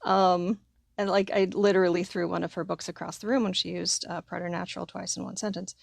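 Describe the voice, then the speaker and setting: A woman co-hosting a podcast in a casual, informal way, halting often as she works out her thoughts. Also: lowish voice, level tone, gravelly, no room sound, clean studio sound. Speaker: podcast co-host. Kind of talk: casual chat.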